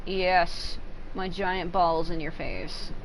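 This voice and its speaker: A woman game streamer chatting casually and rambling while she plays, frank and profane, with loud silly outbursts. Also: fairly low pitch, fairly even intonation, takes it slowly, noisy background.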